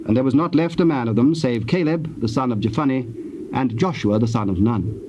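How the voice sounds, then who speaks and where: A man narrating scripture from a script in a measured, formal storytelling manner. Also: medium pitch, mellow. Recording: dull old tape, faint noise.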